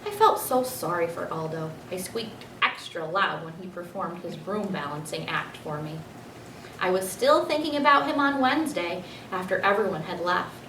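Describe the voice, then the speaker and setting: A woman reading a children's storybook aloud in an expressive narrating style. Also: lowish voice, normal speed. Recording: echoey room, distant mic, faint noise.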